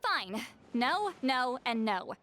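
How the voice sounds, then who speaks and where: A woman's voice: a little high, very animated, slow pace, a bit bright, a little hiss or hum, full character voice. A woman playing an irritable, aloof, centuries-old shaman with a sardonic, curt manner in a video game. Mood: sardonic, grudging.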